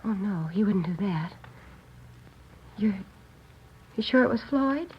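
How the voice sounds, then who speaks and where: A worried American woman in her thirties speaks hesitantly, pleading and protective, at a medium pitch. The old radio drama recording is muffled, with faint noise. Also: expressive, slow. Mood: distressed. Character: anxious, protective seamstress in her thirties, older sister. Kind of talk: 1950s radio drama acting.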